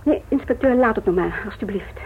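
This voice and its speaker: A woman's voice: medium pitch, fairly quick, natural voice. A woman playing a middle-aged mother in a radio play, guarded and dramatic, who wants to be left in peace. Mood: pained, weary. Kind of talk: Dutch radio play acting. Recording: tinny narrow-band old radio recording, hiss.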